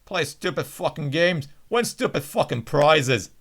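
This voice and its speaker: A man voicing a brooding, aggressive high school tough guy in a visual novel, confrontational and foul-mouthed. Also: expressive, measured pace, fairly bright tone, full character voice. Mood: angry, threatening. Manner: confrontational, profane.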